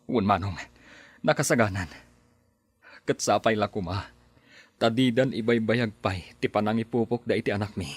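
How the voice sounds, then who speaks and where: A man's voice: lowish voice, normal speed, pauses often, slightly rough, no room sound. A man playing a worried father of a kidnapped child in a radio drama, earnest and respectful. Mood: anxious. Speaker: son and husband.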